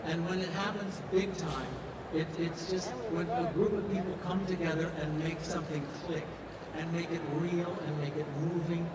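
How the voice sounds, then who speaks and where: A man's voice: on the high side, little pitch movement, moderate pace, over a noisy crowd. A man talking emphatically as a convention panelist, repeating phrases for stress. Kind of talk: animated convention panel talk. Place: large convention hall panel. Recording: echoey hall, distant, noisy PA sound.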